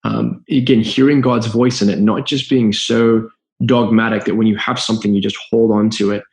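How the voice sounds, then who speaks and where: A man's voice: on the low side, fairly even intonation, moderate pace, a little mellow. A man with a Zimbabwean accent, a guest entrepreneur telling his story in a podcast interview in an earnest, open way. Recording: roomy echo.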